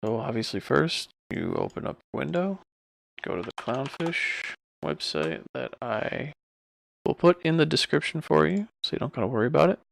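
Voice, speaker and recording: A man talking informally and offhandedly through a software tutorial as he works at his computer. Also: mid-range voice, lively intonation, moderate pace, vocal fry. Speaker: streamer giving a software tutorial. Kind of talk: casual screen-recorded tutorial.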